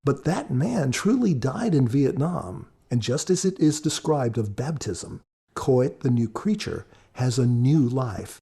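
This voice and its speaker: A man's voice: mid-range voice, expressive, measured pace, warm tone, breathy edge. A man giving scripted podcast commentary as author and co-host, in an earnest, preacherly, explanatory manner.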